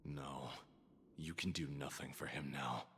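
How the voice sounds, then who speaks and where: An actor playing a grim, fatalistic guard and assassin in a video game, speaking in a cold, deadpan manner with sighs, over music. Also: male voice, rumbling low, a little slow, slight background noise, heavily acted. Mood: grim, resigned.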